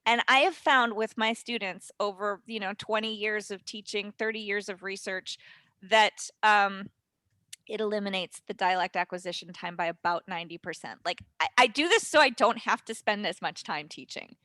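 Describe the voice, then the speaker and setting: A woman, a voice and dialect coach, explaining her method as a guest on a webinar, in animated, rapid talk with quick asides. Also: medium pitch, dry recording. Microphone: webinar call mic.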